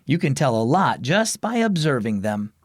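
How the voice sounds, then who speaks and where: Lively man hosting a children's story show. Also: medium pitch, moderate pace, no room sound, studio quality. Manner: animated, expressive. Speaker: host and narrator of a children's devotional show.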